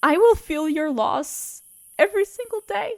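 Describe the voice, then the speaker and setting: A woman voicing a grieving young woman who writes a letter to her lost love, speaking softly and tenderly while sniffling through tears. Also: very high-pitched, lively intonation, unhurried, heavily acted. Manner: soft, hushed and tender. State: sniffling, tearful. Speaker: audio roleplay performer. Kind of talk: intimate audio roleplay reading a letter.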